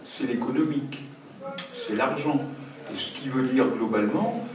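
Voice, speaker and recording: A man's voice: medium pitch, moderate pace, crowd noise. A man at a public meeting, voicing his view hesitantly with frequent restarts. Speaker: audience member in discussion. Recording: roomy hall, distant mic, noisy.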